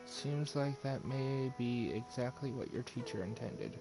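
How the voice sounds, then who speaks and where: Female game streamer, extremely low voice, reading lines aloud and commenting over clear music. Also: measured pace. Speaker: game livestreamer.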